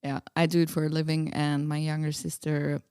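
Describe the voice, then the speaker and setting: A woman's voice: deep voice, little pitch movement, a little fast, a little mellow, clean tone, no echo, clean studio sound. A woman from Austria, chatting informally and a little hesitantly as a guest in a casual interview. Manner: laughs easily, hesitant and informal.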